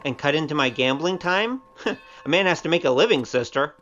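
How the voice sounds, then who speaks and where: A man's voice: on the high side, animated, fairly quick, fairly bright tone, highs cut off. A man playing an easygoing, teasing gambler hero with a flippant manner in an audio drama.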